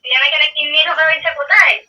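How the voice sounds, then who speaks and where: Young woman on a dull phone line, quick, insistent, questioning casual talk. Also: sits high, bright, forceful tone, far from the mic. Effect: telephone. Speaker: prank call recipient.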